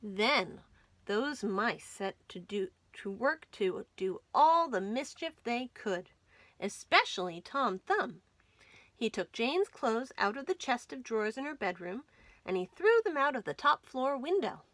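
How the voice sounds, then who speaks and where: A woman reading a children's story aloud as a storytime host, with dramatic, expressive delivery. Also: on the high side, measured pace, dry recording. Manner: dramatic, animated storytelling with whispers and emphasis. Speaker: library storytime reader.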